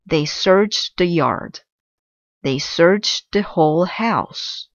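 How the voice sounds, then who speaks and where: A woman narrating a children's storybook aloud in an expressive storytelling style. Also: lowish voice, drawn-out words, muffled recording, slight background noise.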